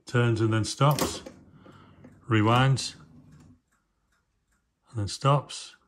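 A man giving casual, hands-on commentary in short, unhurried remarks as he works on equipment. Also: medium pitch, steady tone. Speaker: host of a hands-on vintage electronics video. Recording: clear background noise with clicking.

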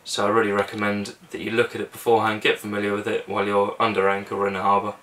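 Young man, low and even delivery, relaxed practical how-to vlogger, slightly off-mic with faint hiss. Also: rapid-fire, distant mic. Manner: relaxed, practical, talks through steps with fillers. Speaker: sailing vlogger. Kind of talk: casual how-to explanation. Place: small boat cabin and engine bay, indoors.

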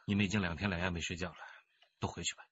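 A man's voice: low voice, fairly even intonation, very fast, muffled recording, lightly acted. An actor playing an anxious but determined father of a missing boy in a radio drama. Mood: grave resolve.

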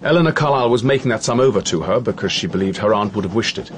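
An actor playing an earnest, defensive country doctor with an English accent, speaking frankly in a radio drama. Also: male voice, lowish voice, animated, rapid-fire, a little hiss or hum, natural voice. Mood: matter-of-fact.

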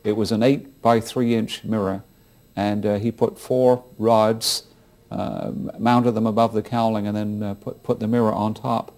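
A man's voice: fairly low pitch, little pitch movement, a little slow, gravelly, darkish tone. A middle-aged American man telling an anecdote conversationally in an interview, explaining in a hesitant, stop-and-start way. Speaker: interviewed racing historian. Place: indoor room, filmed interview.

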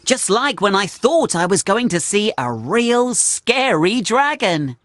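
A woman's voice: mid-range voice, very animated, slow, no room sound, studio quality. A woman voicing a cheerful, curious young male talking steam engine, telling stories earnestly and expressively to children in a cartoon game. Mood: reflective.

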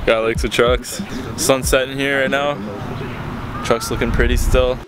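Excited young man vlogging over loud music. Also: medium pitch, a little slow. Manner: excited, swears a lot, talks straight to camera. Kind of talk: casual travel vlog. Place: outdoors at a beach and in a truck. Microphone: handheld camera at arm's length.

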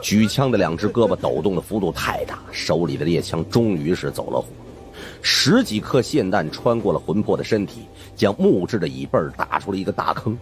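A man narrating a scripted first-person audiobook story in a colloquial storyteller's manner. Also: low-pitched.

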